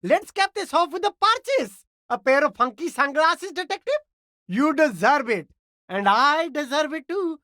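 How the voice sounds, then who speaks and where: An actor playing an immigrant street vendor in a video game, an eager, defensive hustler with ingratiating, evasive sales patter. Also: male voice, very high voice, animated, moderate pace, bright, forceful tone, no room sound, studio quality, heavily acted. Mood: eager, salesy. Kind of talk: video game character dialogue.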